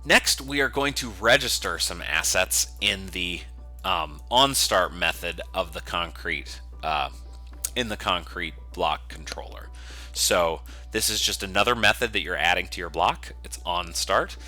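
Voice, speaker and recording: A low-pitched man with a very bright, forceful edge to his voice explains programming like an instructor recording a screencast. He talks informally and goes step by step, with faint music in the recording. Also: measured pace. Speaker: software instructor, developer. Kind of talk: screencast coding tutorial, semi-improvised walkthrough.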